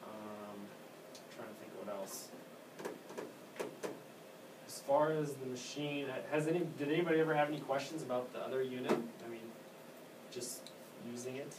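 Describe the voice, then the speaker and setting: A man leads a casual hands-on training session as a technician. He explains things haltingly, in an everyday way, and tends to trail off. The recording is distant and echoey, with clear noise behind him. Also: medium pitch. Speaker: copier technician giving staff training. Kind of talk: informal hands-on product training. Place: indoor room at an elementary school.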